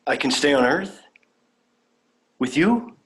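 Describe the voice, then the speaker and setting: A man's voice: on the high side, animated, takes it slowly, fairly bright tone. A young man playing an earnest, naive alien traveller in a sci-fi audio drama, polite and full of wonder. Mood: hopeful, sheepish. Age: young adult.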